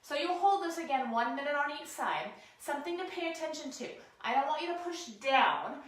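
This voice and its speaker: Hoarse woman leading desk stretches. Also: high voice, expressive, brisk, heavy reverb. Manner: casual, encouraging, talks while demonstrating stretches. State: hoarse from a cold. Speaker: posture and ergonomics instructor. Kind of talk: guided stretching lesson, talking to camera. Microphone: camera mic, sometimes turned away.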